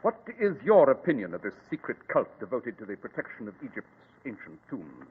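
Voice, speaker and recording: An incisive detective of vintage radio drama, grave and commanding. He speaks quickly and precisely with a lively, swooping delivery, heard through a thin, tinny old broadcast. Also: medium pitch, slightly rough, full character voice. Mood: incisive, composed. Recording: echoey, hissy, tinny old radio recording.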